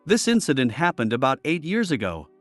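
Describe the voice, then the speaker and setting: A man narrating reddit posts in a plain, even read-aloud style. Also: medium pitch, fairly quick, no echo, soft background music. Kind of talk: scripted narration reading posts.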